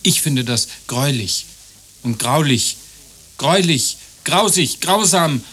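An actor playing a courteous, slightly awkward gentleman caller in an absurd radio play. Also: on the high side, lively intonation, slow, husky, heavily acted. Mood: bewildered, uneasy. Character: polite, flattering gentleman caller growing uneasy. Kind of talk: German radio play acting, absurd satire.

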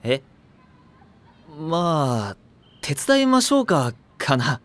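Sensible, lightly high-voiced schoolboy. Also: male voice, sing-song, takes it slowly, a bit bright, no echo, heavily acted. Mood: matter-of-fact. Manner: level-headed, dry, casual. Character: sensible, mild, exasperated teenage schoolboy. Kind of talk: anime audio drama acting.

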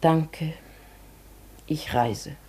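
A woman playing a dignified, wistful hotel guest in a German radio drama, with a soft, often breathy voice and a formal, measured manner. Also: deep voice, heavily acted. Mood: firm, composed. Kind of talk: German radio play acting.

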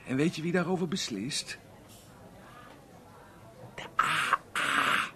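An actor playing a drunk, aggrieved unemployed man rambling haltingly at a bar in a radio play. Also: on the high side, vocal fry, heavy background noise. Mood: tipsy, grumbling. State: drunk (acted). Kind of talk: Dutch radio play monologue and dialogue.

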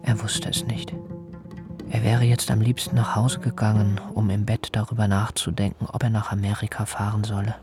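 A man gives a measured literary narration for a radio play. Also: lowish voice, flat delivery, close to an everyday voice. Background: loud guitar music.

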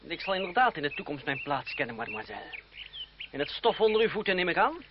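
An actor playing a sharp-tongued, bitter but loyal young gentleman in a Dutch radio play, theatrical and mocking. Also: fairly high pitch, sing-song, fast. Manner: sardonic, theatrical. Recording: dull, noisy old radio play recording.

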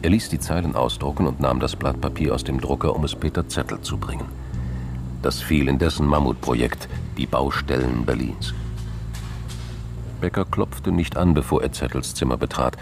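A man's voice: a bass voice, normal speed, gravelly, over music. A man reading scripted narration for a radio drama, with vehicle sounds and ambience behind him.

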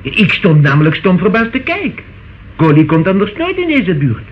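An actor playing an excitable immigrant witness in a radio play, stammering and repeating words in broken grammar. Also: male voice, high voice, moderate pace. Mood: excited, insistent. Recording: muffled, noisy old radio recording.

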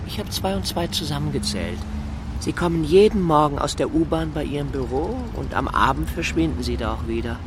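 A man's voice: fairly high pitch, lively intonation, a little fast, noisy background, lightly acted. A needling, menacing man played by an actor. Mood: needling, falsely polite. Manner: formal address, pointed rhetorical questions, needling. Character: insistent, menacing snooping man with a grudge against big shots. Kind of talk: naturalistic German radio drama dialogue.

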